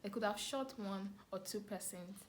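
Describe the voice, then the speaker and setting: A woman's voice: medium pitch, moderate pace. Nigerian young woman, noisy recording. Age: young adult. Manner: expressive, repeats phrases for emphasis. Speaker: vlogger, storyteller. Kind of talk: casual personal storytelling to camera.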